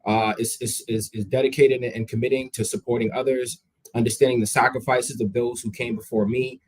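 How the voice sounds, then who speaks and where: A man's voice: fairly low pitch, husky, rough recording. A young man of Jamaican heritage, a guest in a casual interview, talking energetically and conversationally, often repeating his words as he starts a sentence.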